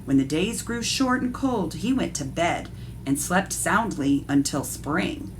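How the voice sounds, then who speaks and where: A woman's voice: sits low, expressive, measured pace, a little hiss or hum, low-quality recording. A woman art teacher reading a picture book aloud expressively and explaining things to young listeners. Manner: instructive, addresses young listeners directly.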